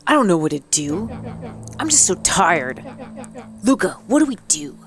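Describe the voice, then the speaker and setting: A woman's voice: fairly low pitch, very animated, normal speed. A woman voicing a bitter, frightened mutated boy in a video game, accusing and sarcastic in manner. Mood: frightened, weary.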